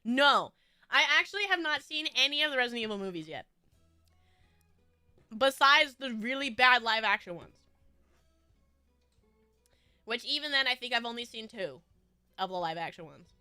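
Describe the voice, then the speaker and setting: High bubbly giggly woman streamer. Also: expressive, normal speed, long pauses, pushed and bright, clear, resonant tone, dry recording, faint music behind. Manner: bubbly, rambling, frequent giggles. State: stuffy nose. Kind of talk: casual chat with viewers.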